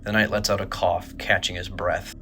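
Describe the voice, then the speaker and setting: Low, crisp-edged bright male narrator. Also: level tone, normal speed, faint music behind. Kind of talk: scripted dramatic narration of a horror audio drama.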